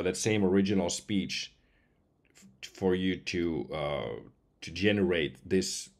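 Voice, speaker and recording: Male voice-over artist, forties, somewhat low and a little hoarse, chatting informally. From Finland. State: slightly hoarse. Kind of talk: casual demo talk to camera. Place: indoors at home computer, seen wearing headset.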